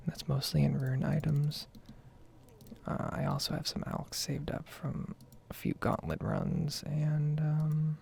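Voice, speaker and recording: A woman speaking in a soft, breathy whisper, rambling casually in an ASMR style as she comments on her own gameplay. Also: low-pitched, level tone, takes it slowly. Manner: casual, meandering. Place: basement at home.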